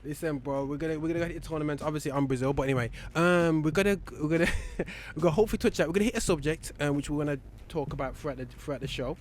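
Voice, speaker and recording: A man's voice: on the high side, a little hiss or hum. A man hosting a gospel radio show in casual banter, joking and rambling with lots of fillers. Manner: fast, jokey, rambling with many fillers. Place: radio studio.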